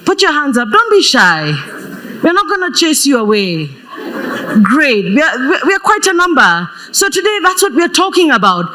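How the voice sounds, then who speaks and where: A woman's voice: sits high, very animated, moderate pace, low-quality recording. Playful Kenyan woman hosting a panel. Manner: playful, loud, laughs often. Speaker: panel moderator. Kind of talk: live panel moderation. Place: conference stage before an audience. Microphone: handheld stage mic.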